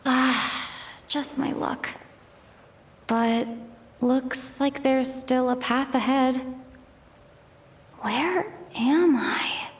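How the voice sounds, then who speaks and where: Game adventurer, female: curious but cautious, slow musing delivery, long cavernous reverb. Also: sits high. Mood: puzzled. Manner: hesitant, thinking aloud to herself. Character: wary, curious young female adventurer exploring a cave. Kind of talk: video game voice acting. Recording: long reverb, music underneath. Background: game music.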